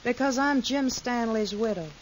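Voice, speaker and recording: Woman acting a scheming frontier wife, high voice, sugary folksy manner, old radio western, hissy recording. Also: normal speed, lightly acted, poor audio. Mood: sly, falsely sweet. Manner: coquettish, falsely sweet, folksy. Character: scheming, mercenary young wife of a frontier rancher.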